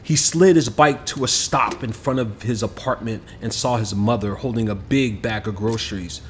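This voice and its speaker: A man reading a children's picture book aloud as an expressive scripted narration, with music behind him. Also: lowish voice, moderate pace, a little hiss or hum. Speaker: storybook narrator. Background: background music.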